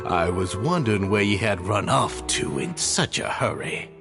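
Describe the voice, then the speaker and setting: An actor playing a smooth-talking man who is overly courteous and falsely polite. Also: lowish voice, expressive, moderate pace, music in the background. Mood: oily.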